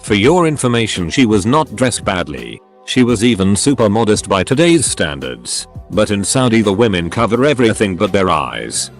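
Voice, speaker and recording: A man with a fairly low voice narrates story after story, his pitch rising and falling in an animated, expressive way. It is a scripted read of online posts. Soft music plays faintly underneath.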